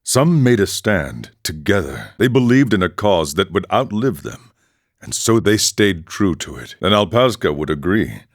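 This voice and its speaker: A serene, world-weary ascetic monk from a video game. The voice is very low and moves expressively, with measured, formal phrasing and a philosophical bent. The recording is dry and close. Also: male voice, big pitch swings, dry recording, exaggerated voice. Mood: wistful, philosophical. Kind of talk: video game character dialogue.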